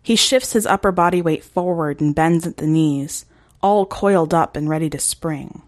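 A woman's voice: deep voice, moderate pace, dry recording, studio quality. A woman reading scripted fiction as a narrator for a short story podcast. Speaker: podcast editor and story reader.

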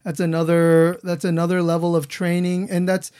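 A man's voice: sits high, completely flat delivery, normal speed, a little mellow, clear, resonant tone. Appreciative male interview host. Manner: appreciative, restarts phrases often. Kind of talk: casual interview chat. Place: studio.

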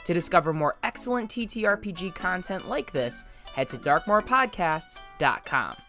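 A man's voice: high voice, sing-song, moderate pace, bright, forceful tone, soft background music. A man hosting a podcast interview in a casual, conversational style.

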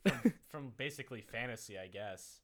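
A man's voice: mid-range voice, normal speed. A man on a casual podcast with friends, goofy and prone to silly outbursts. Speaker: podcast co-host. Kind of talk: casual chat among friends.

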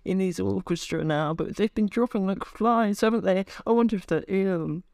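A man voicing a flustered, forgetful, apologetic orchestra member in a high, creaky comedy voice. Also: moderate pace, darkish tone, dry recording, clean studio sound. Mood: flustered, chatty. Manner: gushing, apologetic, scatty.

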